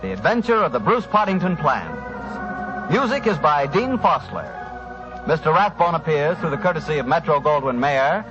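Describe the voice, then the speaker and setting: A man's voice: a little high, big pitch swings, normal speed. An American man as a 1940s radio announcer, delivering scripted, folksy sponsor patter. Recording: muffled 1940s radio recording with music.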